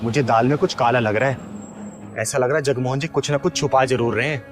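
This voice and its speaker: A man's voice: medium pitch, a little fast, over music, close to an everyday voice. A man playing a bold, righteous kinnar elder sister in a Hindi short film, speaking emphatically. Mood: suspicious.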